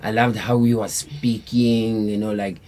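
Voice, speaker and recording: A man's voice: lowish voice, flat delivery, measured pace, mellow. A South African man, a band member, telling a long story in a laid-back, rambling way with lots of slang fillers. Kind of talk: casual storytelling interview.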